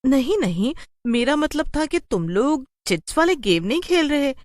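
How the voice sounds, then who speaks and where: Lady doing a chatty plucky girl-next-door type, raised pitch, coaxing, animated cartoon story voice. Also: normal speed, dry recording, clean studio sound. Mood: persuasive. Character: plucky, chatty human woman outwitting witches.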